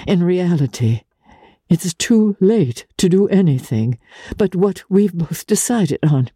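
A woman voicing a dignified, sorrowful married woman renouncing her lover in a dramatic audiobook, with measured, formal earnestness. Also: fairly low pitch, lively intonation, dark tone, breathy edge, no echo, studio quality, voice pushed up, exaggerated voice. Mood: firm, reproachful. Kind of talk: dramatic literary audiobook reading.

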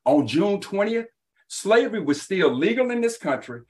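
A man's voice: sits high, big pitch swings, moderate pace. A man debating on a panel in an emphatic, insistent, lecturing way, driving home his points. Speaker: panel guest, author and activist.

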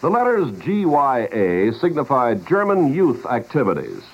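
Animated male announcer on muffled old radio. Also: medium pitch, a little slow. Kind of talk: 1950s scripted radio public-service narration. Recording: muffled 1950s radio broadcast.

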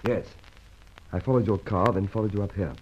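Man acting a deep, dark-voiced doubtful policeman. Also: brisk, faint music behind, natural voice. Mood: doubtful, impatient. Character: gruff, doubtful male policeman, impatient. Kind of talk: old radio crime drama acting. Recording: dull, noisy old radio recording.

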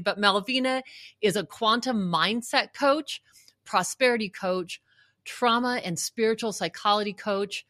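A woman's voice: mid-range voice, fairly bright tone, dry recording, clean studio sound. A woman hosting a podcast, talking in an upbeat, effusive way as she greets her guest. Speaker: podcast host. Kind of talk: enthusiastic interview chat.

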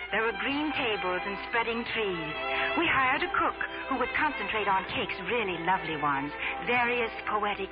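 Prim, proud teenage girl, high and very animated, muffled vintage radio drama with music. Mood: wistful narrating. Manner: prim, precocious, indignant formality. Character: earnest, proud, chatty teenage girl, orphaned immigrant. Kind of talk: 1940s radio acting and narration. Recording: muffled old radio recording, music behind.

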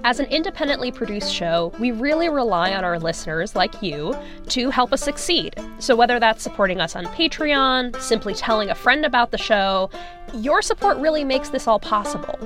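Higher-pitched woman, podcast promo over music. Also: lively intonation, moderate pace. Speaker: podcast co-host making announcements. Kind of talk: scripted promotional read.

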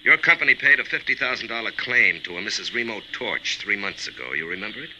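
A man's voice: lowish voice, expressive, quick pace, background noise. A man playing a secretive, curt anonymous informant heard on a recorded telephone call in a 1950s radio drama. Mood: secretive, insistent. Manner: curt, insistent, withholding. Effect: telephone call playback.

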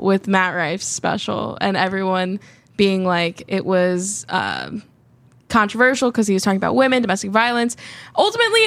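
A woman's voice: fairly high pitch, a bit bright, dry recording. A woman hosting a podcast and chatting casually, rambling and peppering her talk with "like". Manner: rambling, heavy use of "like". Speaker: podcast co-host and stand-up comedian.

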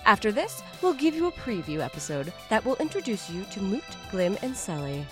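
A woman performing a comic scripted promo for a podcast, playful and mock-frazzled with sharp comic timing. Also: medium pitch, big pitch swings, a little fast, soft background music.

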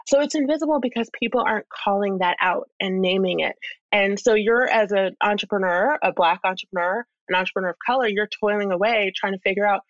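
A dry, slightly muffled recording of a woman with a mid-pitched voice. She talks as an interview guest, thoughtfully laying out her argument and stressing points in a conversational flow. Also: fairly quick, no room sound. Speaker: interview guest, expert commentator.

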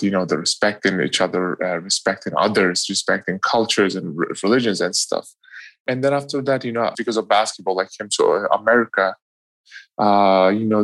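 A man's voice: fairly low pitch, a bit bright, no room sound. A young man from Turkey with a Turkish accent, a guest speaking casually and earnestly, with lots of "you know" fillers. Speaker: guest, professional athlete.

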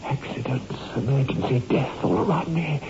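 An actor playing a tormented, brooding surgeon with agitated, melodramatic delivery in a 1960s radio horror drama. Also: male voice, mid-range voice, moderate pace, close to an everyday voice. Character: tormented male surgeon obsessed with death at his hands. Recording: muffled, noisy old radio recording.

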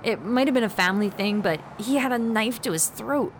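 A woman's voice: fairly high pitch, animated, brisk. Scared regular woman in an audio drama, nervous n hesitant, bit of background noise. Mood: panicked. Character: anxious ordinary woman, a frightened witness narrating her ordeal. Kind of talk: first-person audio drama narration and dialogue.

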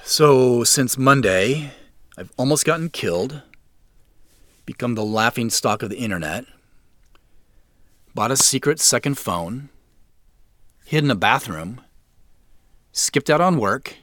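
An actor playing a guarded, exasperated man on the run in an audio drama, with a weary, halting delivery. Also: medium pitch, expressive, fairly bright tone, no echo, studio quality.

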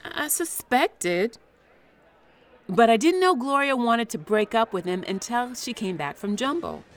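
A woman's voice: on the high side, very animated, measured pace, soft background music. A woman playing an eager, defensive woman caught meddling in a scripted drama.

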